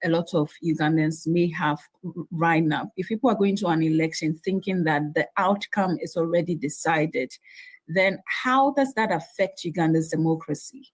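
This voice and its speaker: Low-voiced lady anchor asking straight probing questions, bit husky. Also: moderate pace, poor audio. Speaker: news anchor. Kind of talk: news interview questions.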